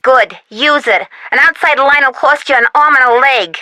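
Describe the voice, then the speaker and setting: A woman's voice: high voice, moderate pace, sharp tone, no room sound. An actress playing a bored, brusque young woman taking orders and calls in a video game, curt and flippant. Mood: brusque, offhand. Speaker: room service and hotel operator character.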